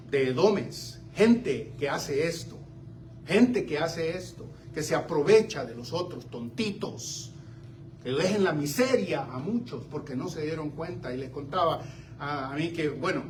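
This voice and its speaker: An older man from Central America preaching a sermon, swinging between loud exclamations and quiet asides. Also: on the high side. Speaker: pastor. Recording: slightly distant mic with faint room noise.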